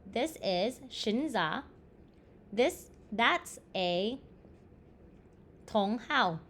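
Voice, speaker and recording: A woman's voice: a little high, lively intonation, extremely slow, fairly bright tone, heavily acted. A woman voicing a patient, assured mother in a storybook, explaining things in a matter-of-fact, reassuring way. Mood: instructive.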